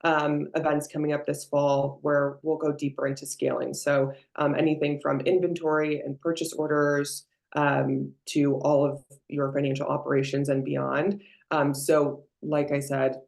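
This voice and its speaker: Gravelly voiced webinar moderator. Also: medium pitch, darkish tone. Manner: casual, with frequent ums. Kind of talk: event introduction.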